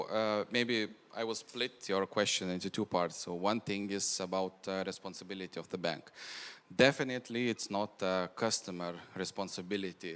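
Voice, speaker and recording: Man, lowish pitch, resonant clean tone, conference speaker, explanatory, long hall echo. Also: a bit bright, smooth. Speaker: conference speaker on bank fraud prevention. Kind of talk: presentation and audience Q&A. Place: indoor event hall with long reverb. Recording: reverberant hall sound.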